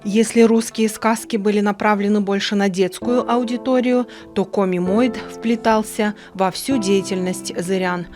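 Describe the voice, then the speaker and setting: A woman's voice: mid-range voice, fairly even intonation, unhurried, hardly any pauses, music in the background. A woman reading scripted documentary narration as the narrator of a television programme.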